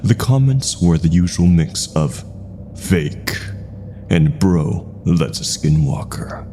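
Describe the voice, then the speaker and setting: A man with a gravelly voice reading a scripted first-person horror story in a measured, brooding manner. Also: sits low, big pitch swings, dark tone, a little hiss or hum.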